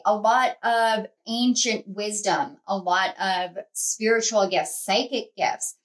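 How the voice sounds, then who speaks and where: A woman's voice: medium pitch, low-quality recording. A woman hosting a pick-a-card tarot reading, talking to her viewers in a rambling, conversational way with many fillers and restarts. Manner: earnest, speaks to viewers as a group. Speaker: tarot reader and channel host.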